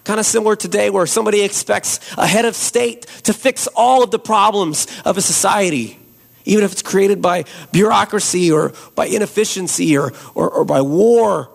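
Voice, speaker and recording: A hoarse man preaching a Christmas Eve sermon and leading prayer as a pastor, slow and earnest. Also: high voice. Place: church.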